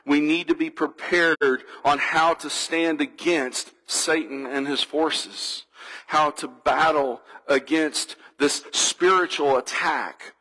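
A man's voice: on the high side, fairly even intonation, slow, bright, forceful tone, rough recording. A man preaching a sermon as a church pastor, earnest and reflective, building through repeated phrases. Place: church sanctuary.

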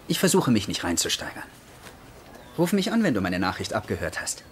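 An actor playing an upbeat, easygoing hospital doctor in a dubbed TV comedy, breezy and reassuring. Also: male voice, on the low side, sing-song, fairly quick. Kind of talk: German TV comedy dub acting.

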